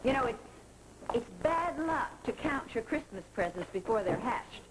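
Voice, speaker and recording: A woman playing a high, scolding household woman. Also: animated, normal speed, natural voice. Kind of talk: 1980s daytime soap acting. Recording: very hissy 1980s broadcast tape.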